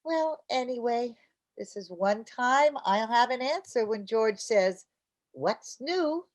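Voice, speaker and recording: A woman acts an exasperated, scatterbrained housewife in the style of old-time radio comedy. Her voice sits a little high, and she sounds earnest, flustered and insistent. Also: lively intonation, slow. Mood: flustered explaining. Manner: fretful, insistent.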